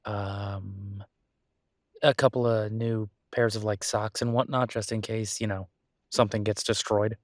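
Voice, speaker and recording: A man's voice: fairly low pitch, flat delivery, a little slow, no echo, clean studio sound, natural voice. A man playing a devoted, reassuring human boyfriend in an intimate roleplay audio, speaking casually and hesitantly. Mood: caring, matter-of-fact. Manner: hesitant, casual, soothing, with soft chuckles. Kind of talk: roleplay audio acting, intimate one-sided conversation.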